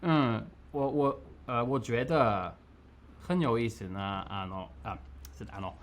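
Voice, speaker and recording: A man chatting as a guest on a video call, speaking haltingly with many fillers. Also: mid-range voice. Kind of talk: casual multilingual chat.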